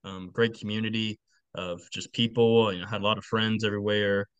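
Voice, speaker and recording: A man's voice: fairly low pitch, level tone, a little fast, smooth, no echo, dull audio. A man in his late twenties from Texas, answering interview questions in a casual, plainspoken way. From Texas, United States. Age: late 20s. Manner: plainspoken, informal. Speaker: podcast guest, football coach.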